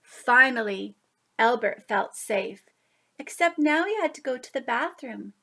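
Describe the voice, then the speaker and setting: A woman reading a picture book aloud to young children, expressive, with drawn-out emphasis on key words. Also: a little high. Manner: animated, drawn-out emphasis, asides to listeners. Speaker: children's story reader.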